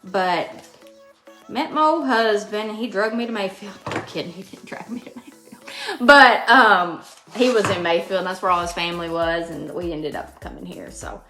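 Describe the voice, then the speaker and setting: A woman's voice: mid-range voice, normal speed, pushed and bright, faint music behind. A woman with a Western Kentucky Southern accent, chatting casually and folksily as she hosts a live craft tutorial. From Western Kentucky, United States. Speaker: craft host. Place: indoors at a home craft table.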